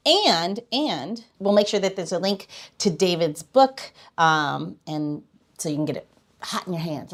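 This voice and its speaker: A woman co-hosting a podcast, upbeat and promotional as she wraps up the segment. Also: lowish voice. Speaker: podcast co-host. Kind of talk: podcast hosting and outro read.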